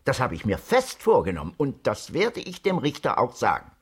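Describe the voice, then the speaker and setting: A man's voice: mid-range voice, wildly swooping pitch, moderate pace, slightly rough, heavily acted. An actor playing a shifty con man in his fifties in a radio crime comedy, protesting his innocence in a self-pitying whine. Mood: indignant, self-pitying. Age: 50s.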